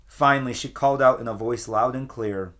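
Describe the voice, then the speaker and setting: A man reading a children's picture book aloud as a teacher, with an expressive storytelling cadence. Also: medium pitch, fairly quick.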